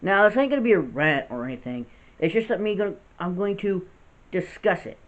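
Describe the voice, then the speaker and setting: A gravelly-voiced man in his late twenties speaks earnestly, without a script, and keeps restarting his thoughts. His voice sits a little high for a man. The audio is thin and phone-like, as if recorded at home on a cheap device. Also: lively intonation, brisk. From United States. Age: 20s. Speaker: vlogger. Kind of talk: unscripted serious vlog. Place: house with poor lighting.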